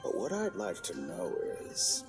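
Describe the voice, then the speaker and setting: An actor voicing an arrogant, scheming male scholar villain in a game cutscene, smooth and mocking, sometimes breaking into shouts. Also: low voice, huge pitch swings, unhurried, exaggerated voice. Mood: smug, calculating. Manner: smooth, mocking, theatrical, with sudden shouting outbursts. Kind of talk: video game cutscene voice acting. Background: game score music.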